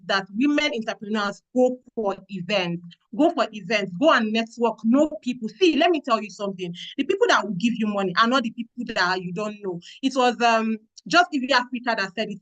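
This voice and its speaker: A woman from Nigeria with a Nigerian English accent, moderating an online training session in a lively, exclamatory coaching manner over a video call. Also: on the high side, low-quality recording.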